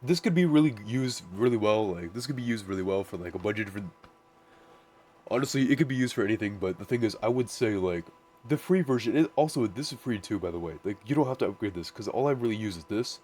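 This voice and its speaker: Deep-voiced man rambling through a tutorial. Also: lively intonation, quick pace, a little mellow. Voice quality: naturally deep voice. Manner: rambling, full of "like" and restarts. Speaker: music producer. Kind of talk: casual screen-share tutorial.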